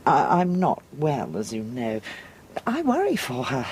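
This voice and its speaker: A woman playing an ailing, worried older mother in a gothic audio drama, genteel, halting and confiding. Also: very deep voice, huge pitch swings, darkish tone, a little hiss or hum, heavily acted.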